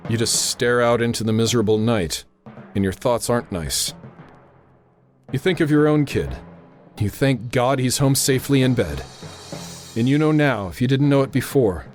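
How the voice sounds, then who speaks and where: A man acting as a grave, steady radio operator in an old-time radio drama. He speaks with deliberate authority, every instruction precise, in a mid-pitched voice. Faint music sits behind him. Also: natural voice. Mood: grim, brooding. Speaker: narrator and radio operator character.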